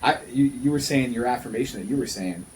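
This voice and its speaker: A man's voice: medium pitch, fast, a little hiss or hum, rough recording. A man in his thirties talking casually and earnestly as a podcast host, often repeating himself for emphasis. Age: 30s. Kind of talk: casual conversational podcast talk.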